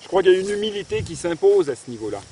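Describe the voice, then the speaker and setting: A man's voice: on the high side, sing-song, rapid-fire, warm tone, a little hiss or hum. A man who belongs to a religious order, speaking earnestly and thoughtfully in an interview, thinking aloud as he goes. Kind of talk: reflective interview talk. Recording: echoey room, mic at a distance.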